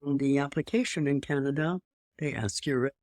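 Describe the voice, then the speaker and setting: A woman's voice: a bass voice, moderate pace, a little mellow, dry recording, clean studio sound. A woman in her eighties with a slight French accent, telling her life story as an interview guest in a rambling way, with restarts and emphatic asides. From Europe. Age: 80s. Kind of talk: personal storytelling in conversation.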